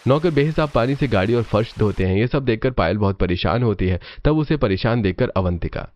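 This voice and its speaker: A man's voice: fairly low pitch, animated, fairly quick, darkish tone. A man narrating a scripted story.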